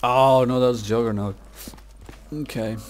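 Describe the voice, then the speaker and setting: A man's voice: mid-range voice, fairly even intonation, a little slow, slight background noise. A young man giving casual gameplay commentary in slangy language, muttering to himself as he plays. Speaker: gamer, video creator. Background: video game music and sounds.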